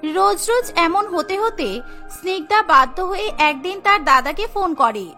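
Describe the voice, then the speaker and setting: A woman narrates a cartoon tale in a very high, bright voice. Faint music plays behind the scripted storytelling. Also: expressive, a little slow.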